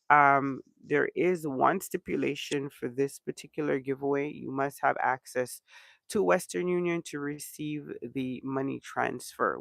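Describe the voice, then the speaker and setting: A man's voice: mid-range voice, vocal fry, no echo. A man from Canada hosting a casual livestream chat, congested from allergies and rambling along with lots of ums. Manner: relaxed rambling with many ums. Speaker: channel host.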